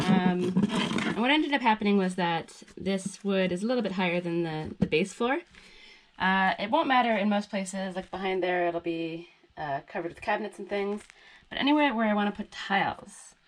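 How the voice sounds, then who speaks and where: A woman's voice: mid-range voice, noisy background. Chatty woman with a stuffy nose. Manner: relaxed, chatty, self-deprecating. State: stuffy nose from a head cold. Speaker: DIY vlogger. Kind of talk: casual vlog narration while working. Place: off-grid tiny house workshop.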